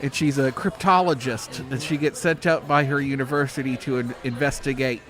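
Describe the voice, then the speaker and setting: A man's voice: mid-range voice, fairly even intonation, fairly quick, a bit bright. A man co-hosting a podcast in casual, conversational chat. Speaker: podcast co-host. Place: in a diner.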